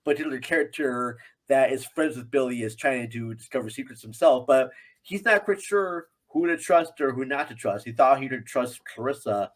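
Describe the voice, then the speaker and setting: A man's voice: medium pitch, moderate pace. A man talking casually and in a rambling, conversational way as a guest on a film podcast.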